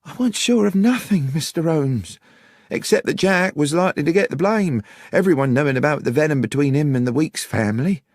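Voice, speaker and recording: A man voicing an anxious, deferential young country woman with rustic, halting speech, in an audiobook. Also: fairly high pitch, lively intonation, dry recording, clean studio sound, pitched above the performer's own voice. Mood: anxious, earnest. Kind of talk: audiobook character voice.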